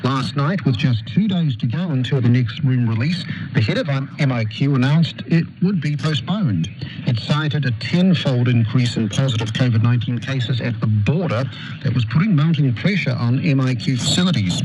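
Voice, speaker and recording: A man's voice: medium pitch, expressive, normal speed. A man with a New Zealand accent reading a scripted radio news bulletin. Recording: noisy, dull shortwave radio reception.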